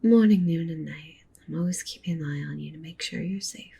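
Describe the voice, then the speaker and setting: A woman voicing a doting, possessive, dominant wolf witch girlfriend in an ASMR roleplay, softly coaxing and commanding in a breathy near-whisper. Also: brisk, full character voice. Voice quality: soft, breathy whisper mixed with low murmur.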